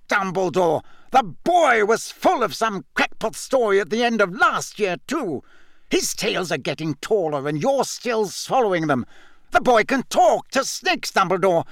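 A man's voice: very high voice, sharp tone, no echo, studio quality, pitched above the performer's own voice, full character voice. A man voicing a short, pompous male government minister in an audiobook, blustering, indignant and panicky. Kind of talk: audiobook character voice.

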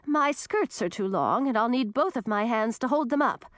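A woman's voice: on the high side, big pitch swings, normal speed, no room sound, clean studio sound, pitched above the performer's own voice. A woman voicing a shrewd young princess in a storybook, polite but firm, bold and wary. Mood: wary politeness. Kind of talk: storybook character voice.

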